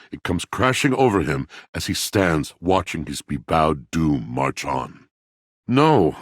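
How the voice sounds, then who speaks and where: An actor playing a melancholy, haughty, literature-loving washing machine in a video game, with pompous, eloquent, theatrical delivery. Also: male voice, rumbling low, breathy edge, no room sound, studio quality, exaggerated voice. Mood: grave, ironic. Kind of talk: dramatic video game character acting.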